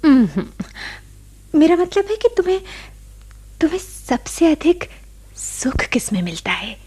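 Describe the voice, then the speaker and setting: An actress playing a devoted, anxious wife in a Hindi radio play, soft, solicitous and emotive. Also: female voice, high-pitched, sing-song, unhurried. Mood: coy, tender. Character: devoted, anxious young wife Daisy of a sick man.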